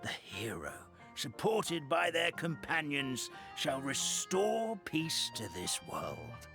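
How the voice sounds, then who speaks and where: An actor playing an excitable, reverent old man in a fantasy video game, with a theatrical, halting delivery. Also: a little high, vocal fry, music in the background, exaggerated voice. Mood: awed, remembering. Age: older. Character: excitable old storybook townsman, earnest and reverent.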